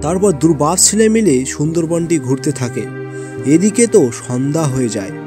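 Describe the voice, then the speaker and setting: A man's voice: a little high, animated, fairly quick. A man narrating a scripted children's cartoon story as the storyteller. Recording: background music under the voice.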